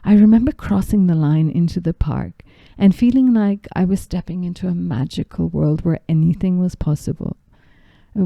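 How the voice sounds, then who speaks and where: A podcast host who grew up in Zambia reads her introductions and memories from a script at a calm, steady pace. Her voice is very low and gravelly, with a deep, dark tone. The sound is close and completely dry. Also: no room sound. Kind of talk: scripted narration.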